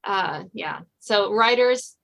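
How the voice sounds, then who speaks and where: Bright-voiced American woman hosting a chat. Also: mid-range voice, animated, slow, gravelly. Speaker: podcast host and novelist. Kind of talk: casual interview chat.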